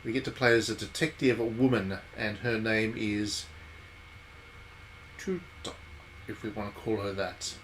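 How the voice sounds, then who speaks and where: A man's voice: on the low side, a little slow, pauses often. Man thinking aloud, noisy background. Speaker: game streamer. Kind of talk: casual live commentary on a visual novel playthrough. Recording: steady background noise.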